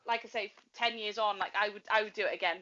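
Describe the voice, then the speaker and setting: A young woman chatting casually as an interviewer, effusive and expressive in her reactions. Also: mid-range voice, quick pace, lo-fi sound. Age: young adult. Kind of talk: casual chat.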